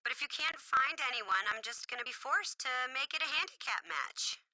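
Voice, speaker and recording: A woman's voice: high voice, expressive, a little fast, sharp tone, full character voice, low-quality recording. A woman playing a smug, menacing wrestling general manager in a video game, taunting with mock sympathy.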